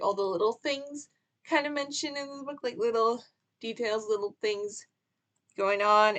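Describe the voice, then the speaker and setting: A woman's voice: a little high, a bit bright. A young woman chatting casually through a book tag, rambling with many fillers and restarts. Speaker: book vlogger.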